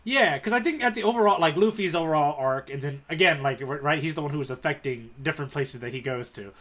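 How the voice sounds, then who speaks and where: A man with a voice a bit higher than average, acting as guide on a casual podcast. He talks in an informal, explanatory way and steers the discussion from point to point. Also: fast talker, fairly bright tone.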